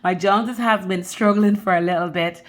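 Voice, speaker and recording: A woman's voice: mid-range voice, fairly even intonation, normal speed. A woman in her thirties from the Caribbean with a Dublin accent, chatting loosely and directly to her viewers as a budgeting vlogger. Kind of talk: casual chat to camera.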